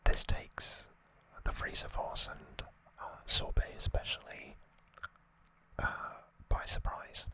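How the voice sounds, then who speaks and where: Someone doing a slow asmr trivia recap, all whispered, crisp and bright, kinda informal. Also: rough recording. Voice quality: soft unvoiced whisper. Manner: unhurried, informal, explanatory. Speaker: ASMR creator and host.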